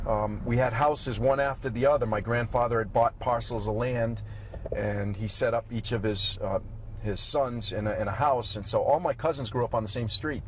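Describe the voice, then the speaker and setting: A man's voice: mid-range voice, steady tone. Chatty middle-aged man recorded in a car. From Massachusetts. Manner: easygoing storyteller, halting with fillers. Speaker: guest, restaurant owner. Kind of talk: casual interview chat. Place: inside a car. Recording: road and car noise in background.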